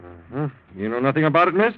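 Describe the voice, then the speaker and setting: Probing police lieutenant, 1940s radio, lively pitch. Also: male voice. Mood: probing, official. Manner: measured questioning with deliberate hesitations. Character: probing, deceptively polite male police lieutenant. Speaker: actor playing police lieutenant. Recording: dull old 1940s radio recording.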